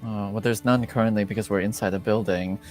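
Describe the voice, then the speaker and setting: A man's voice: fairly low pitch, steady tone, fast talker, a little mellow, faint music behind. A man running a tabletop roleplaying game as game master, easygoing and chuckling, with many ums. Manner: easygoing, chuckling, filler-heavy.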